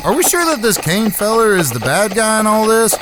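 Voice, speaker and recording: Man playing a high-pitched, brash young cowboy. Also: sing-song, a little fast. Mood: earnest, curious. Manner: folksy, drawling rural speech. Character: brash, hot-headed younger brother, Old West gunslinger. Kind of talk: audio drama western acting. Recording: noisy track with cricket-filled outdoor ambience.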